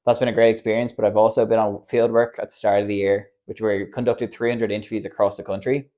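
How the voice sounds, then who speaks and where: A man in his early twenties, a graduate student, speaking briskly and assuredly about his course. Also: lowish voice, flat delivery, dark tone. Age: 20s. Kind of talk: student testimonial.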